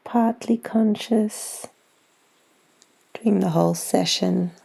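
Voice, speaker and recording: Gravelly, mellow woman guiding meditation. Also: mid-range voice. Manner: soothing, gentle, unhurried instruction with long pauses. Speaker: yoga nidra guide. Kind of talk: guided meditation.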